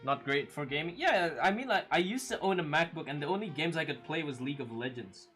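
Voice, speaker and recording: Young male streamer, slightly high voice, relaxed chatty delivery, soft guitar music underneath. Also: fast. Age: 20s. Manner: relaxed, chatty, laughs often, many fillers. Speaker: livestream host. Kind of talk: casual chat reading viewer comments.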